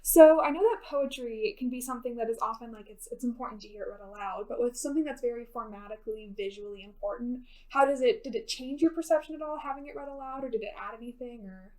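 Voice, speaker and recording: A woman's voice: a little high, quick pace, few pauses, mellow, rough recording. A woman hosting and asking questions in a conversational interview. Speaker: host interviewing a poet.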